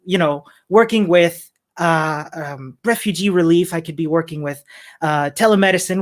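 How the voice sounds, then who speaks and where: A man's voice: high voice, a bit bright. A man from Chile giving a friendly, upbeat tech talk at an online meetup, using fillers and small laughs as he goes. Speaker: conference speaker.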